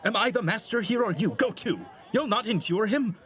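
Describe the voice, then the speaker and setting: An actor playing an older, domineering, hot-tempered uncle in Shakespeare, imperious and blustering as master of the house. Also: male voice, high voice, a little fast, fairly bright tone. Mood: angry scolding. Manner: blustering, imperious, swinging from scolding to jovial. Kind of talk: classical Shakespearean stage acting.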